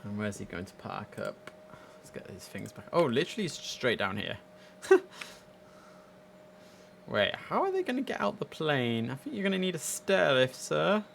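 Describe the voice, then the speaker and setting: A man's voice: mid-range voice, sing-song, normal speed, vocal fry, a bit bright, slight background noise. A young man giving chatty, enthusiastic casual commentary as he streams a game live from indoors. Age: young adult. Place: indoors at a computer.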